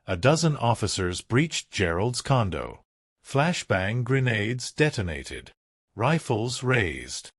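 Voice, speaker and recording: Dramatic male documentary narrator, animated pitch. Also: no echo, studio quality. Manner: dramatic storytelling in short, punchy phrases. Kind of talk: scripted true-crime documentary narration.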